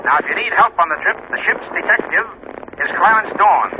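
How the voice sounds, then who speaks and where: A brisk male Treasury agent talks in a high voice, official and to the point. The delivery is 1950s radio drama, on a muffled recording with clear noise. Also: animated. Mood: brisk, informative.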